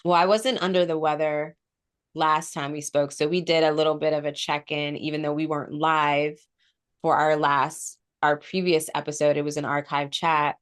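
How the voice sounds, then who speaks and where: A woman's voice: sits low, level tone, normal speed, clean tone. A woman co-hosting a casual spiritual podcast, congested from a cold with a sore throat, explaining at length with frequent ums. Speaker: podcast co-host.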